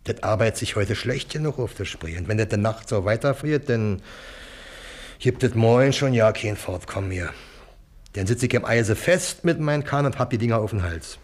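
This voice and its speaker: A man's voice: on the low side, expressive, moderate pace. An actor playing a crafty old bargeman in a German radio play, grumbling and wheedling in Berlin dialect. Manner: grumbling, plaintive, haggling. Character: wheedling, crafty old bargeman who deals in poached goods.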